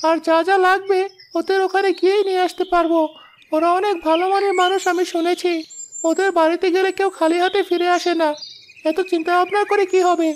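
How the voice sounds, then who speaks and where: A performer voicing an eager, pleading little village boy in an animated moral story, earnest and coaxing. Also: very high-pitched, a little hiss or hum. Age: child character.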